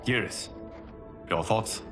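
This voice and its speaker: A man playing a solemn, wise fire god in a dramatic video game, speaking formally and gravely. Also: slow. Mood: questioning. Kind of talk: dramatic cinematic game acting. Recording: loud cinematic music underneath.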